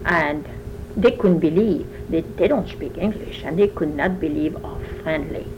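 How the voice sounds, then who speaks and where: An older woman with a French accent talks at length, wandering from one story to the next in a somewhat low voice. She answers questions in an oral history interview, and faint background noise runs under her words. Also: a little slow, lo-fi sound. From France. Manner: talkative, rambling storyteller.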